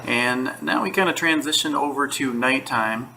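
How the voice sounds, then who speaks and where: A man giving a casual, unscripted tech product review from home, relaxed, with frequent fillers and restarts. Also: medium pitch, moderate pace, gravelly, background noise.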